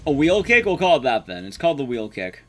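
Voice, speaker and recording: A man's voice: medium pitch, sing-song, quick pace, faint music behind. A man in his thirties giving casual, joking live game commentary and chatting with his viewers. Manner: casual, joking, swears mildly. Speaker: streamer and speedrunner. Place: small indoor room at home, streaming.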